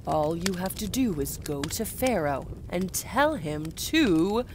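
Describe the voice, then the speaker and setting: Woman as a slow, emphatic talking burning bush. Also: fairly low pitch, sing-song, a little mellow. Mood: encouraging. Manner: emphatic, coaxing. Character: God as a burning bush, bossy, encouraging coach. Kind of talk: children's puppet show. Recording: noticeable background noise.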